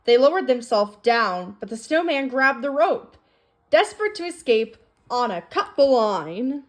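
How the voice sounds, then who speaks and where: A woman's voice: on the high side, big pitch swings, a little slow. A woman reading a children's storybook aloud as a narrator. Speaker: storybook reader. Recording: faint music behind the voice. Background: music.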